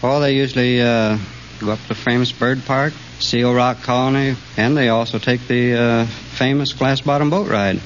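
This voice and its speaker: A man answers hesitantly as a radio quiz contestant. His voice sits at a middle pitch. The old recording is dull, with faint noise. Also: steady tone, moderate pace.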